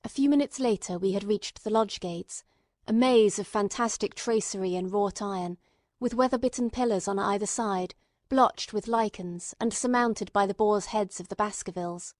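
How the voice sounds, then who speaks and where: A woman's voice: mid-range voice, normal speed, a little mellow, no echo, clean studio sound. A woman reading scripted literary narration as an audiobook narrator.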